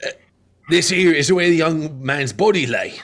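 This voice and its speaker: Old male railway official acted by a man, highish voice, lively pitch swings, courteous, earnest, radio drama. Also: normal speed. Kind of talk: radio play acting.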